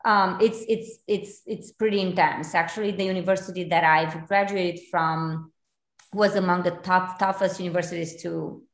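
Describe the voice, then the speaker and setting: A woman's voice: lowish voice, steady tone, normal speed. A woman in her forties from Romania, a realtor guest in a casual interview, chatty with many fillers and restarts. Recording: echoey room.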